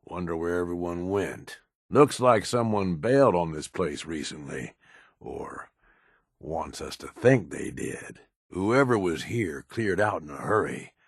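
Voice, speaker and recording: A man acting as a middle-aged raider lieutenant in a video game, gruff and blunt, with a world-weary, pragmatic manner and rough slang. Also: low-pitched, animated, a little slow, dry recording, clean studio sound, full character voice. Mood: wary. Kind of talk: video game character dialogue.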